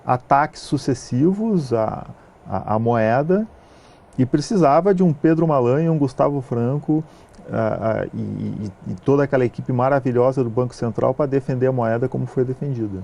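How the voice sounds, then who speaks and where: A man from southern Brazil with a gaúcho accent, a film producer answering interview questions in a measured way, with frequent hesitation sounds. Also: medium pitch, vocal fry, mellow. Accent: gaúcho Brazilian Portuguese. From southern Brazil (Rio Grande do Sul).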